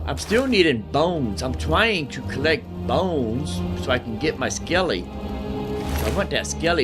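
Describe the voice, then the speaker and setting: Man chatting over game music. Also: medium pitch. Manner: informal, repeats phrases to himself. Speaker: gaming video host. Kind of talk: casual let's play commentary.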